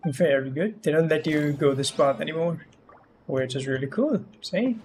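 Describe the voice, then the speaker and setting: A man's voice: on the high side, normal speed, a little mellow, slight background noise. A man giving casual, chatty live commentary as he streams a game, reacting as he goes. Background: game music.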